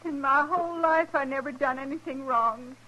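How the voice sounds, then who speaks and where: An actress playing a poor, wretched homesteader wife in a Western radio drama, with halting, rustic, plaintive speech. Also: female voice, high-pitched, sharp tone. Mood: wretched, pleading. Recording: vintage radio drama recording.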